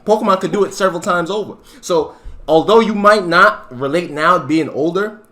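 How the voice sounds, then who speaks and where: A young man co-hosting a podcast, making a relaxed, joking case in a casual debate. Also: high-pitched, moderate pace.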